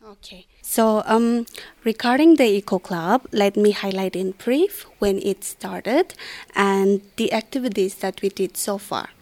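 A woman's voice: mid-range voice, a little slow, darkish tone. A woman, a school teacher giving formal, prepared answers in a radio interview. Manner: formal, prepared-sounding explanations.

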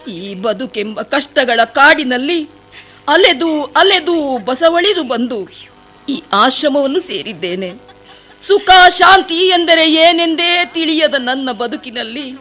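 A woman's voice: sits high, pushed and bright, exaggerated voice. A woman voicing a grieving young forest woman in a devotional radio play, pleading tearfully and dramatically.

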